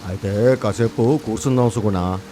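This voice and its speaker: A man's voice: on the low side, moderate pace, dark tone, slight background noise, close to an everyday voice. A man playing a humble older uncle, ashamed and apologetic, speaking sorrowfully in a moral story. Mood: reluctant. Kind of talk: moral story character dialogue.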